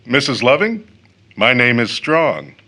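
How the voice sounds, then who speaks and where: A young man acting a polite, amused gentleman caller in a period audio drama, with a courteous, reserved delivery. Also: mid-range voice, big pitch swings, a little slow, full character voice. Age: young adult.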